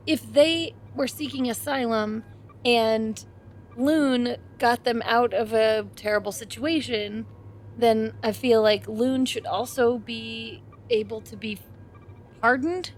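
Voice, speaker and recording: A woman with a fairly high voice talks casually as a tabletop player. She sounds tentative and questioning, with some noise behind her. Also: steady tone, takes it slowly. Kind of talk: casual tabletop game chat.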